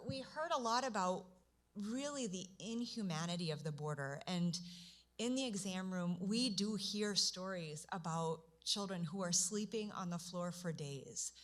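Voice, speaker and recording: A soft-spoken woman, a pediatrician, giving an earnest conference presentation. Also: mid-range voice. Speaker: pediatrician speaker.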